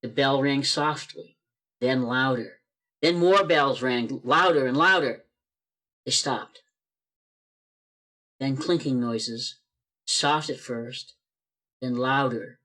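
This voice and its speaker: A man's voice: medium pitch, slow, pauses often, bright, forceful tone. A man narrating a church radio play over a video call, reading expressively and building suspense.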